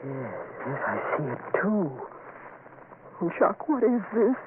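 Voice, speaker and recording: A woman's voice: fairly low pitch, very animated, slow pace. An actress playing a frightened wife and protective mother, breathless and melodramatic, in old radio horror. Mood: afraid. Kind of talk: 1970s radio horror acting. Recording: noisy, tinny old radio recording.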